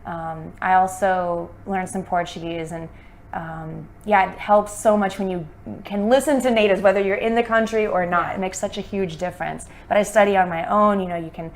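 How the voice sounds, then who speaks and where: A woman's voice: medium pitch, steady tone, normal speed. A woman, an English teacher chatting in an upbeat, casual way. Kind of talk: casual chat.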